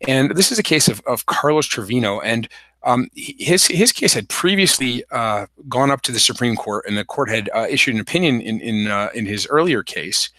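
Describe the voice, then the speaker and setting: A man hosting a solo legal explainer livestream, hoarse from a cold, talking with many fillers and restarts. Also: mid-range voice, lively intonation, moderate pace, sharp tone, no echo. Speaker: podcast host.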